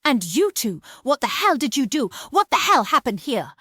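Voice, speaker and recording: A woman's voice: high-pitched, sing-song, normal speed, a bit bright, breathy edge, no echo, studio quality, exaggerated voice. A woman playing an imperious mother who owns a theatre, acted as in a script table read. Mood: furious. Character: imperious theatre-owner mother turned to grief-stricken rage.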